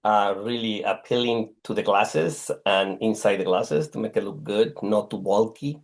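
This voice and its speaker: A middle-aged man from Mexico with a Mexican Spanish accent, answering podcast interview questions as a guest, halting and searching for words with many pauses and restarts. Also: fairly low pitch, creaky. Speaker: guest, technology executive.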